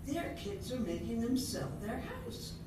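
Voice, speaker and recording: A woman's voice: a little high, wildly swooping pitch, a little slow, noisy background. An older woman playing a gossipy, knowing neighbor in a readers theatre show, recorded in a roomy hall from far off. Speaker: amateur readers theatre actor. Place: senior center hall.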